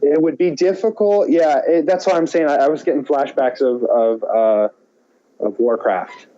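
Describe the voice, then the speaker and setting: A man co-hosting a podcast, chatting casually and thinking aloud in halting, hesitant phrases. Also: fairly high pitch, warm tone, muffled recording. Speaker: podcast co-host. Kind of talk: casual chat about movies and games.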